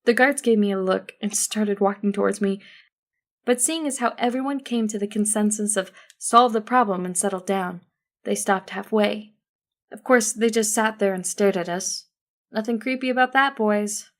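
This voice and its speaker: A woman's voice: mid-range voice, moderate pace. Female narrator, mid-range voice, for first-person fiction audiobook narration.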